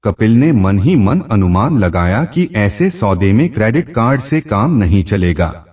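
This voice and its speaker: A man's voice: on the low side, very animated, a little slow, darkish tone, breathy edge, recorded from a distance. A man narrating a scripted short story as a storyteller, recorded a little away from the mic.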